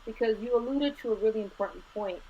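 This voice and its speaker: Middle-aged woman guest, earnest, unhurried, mixes in Spanish, slightly dull recording. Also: fairly high pitch. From Los Angeles, US. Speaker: guest, school administrator. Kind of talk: conversational interview. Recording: slightly dull lo-fi audio with faint noise.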